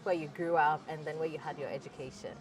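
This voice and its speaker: A woman with a Ghanaian accent interviewing a guest at a restaurant table, chatty and quick to laugh. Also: low voice, steady tone, poor audio. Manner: chatty, laughs easily, stumbles over questions. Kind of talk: casual interview.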